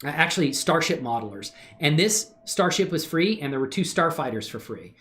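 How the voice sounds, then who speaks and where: A man's voice: a little high, sing-song, brisk, a bit bright. A middle-aged man chatting informally and enthusiastically as he hosts a casual livestream demonstration. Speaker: livestream host and hobby animator.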